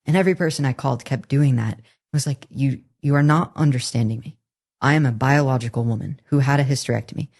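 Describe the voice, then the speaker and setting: Young woman, deep low voice, earnest, candid, halting restarts, podcast guest. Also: a little mellow. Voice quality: somewhat deep, masculine-leaning voice. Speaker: interview guest. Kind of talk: personal testimony in a podcast interview.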